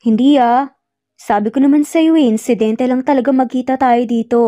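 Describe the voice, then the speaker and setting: A woman's voice: high voice, steady tone, normal speed, mellow, no room sound, natural voice. A woman with a Filipino accent voicing an earnest, insistent man pleading with his lost love in a narrated romance. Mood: coaxing.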